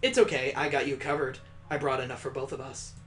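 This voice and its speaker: A man's voice: mid-range voice, steady tone, brisk, fairly bright tone, soft background music, natural voice. A man voicing a cheerful, precocious child who speaks fondly and patiently in a visual novel.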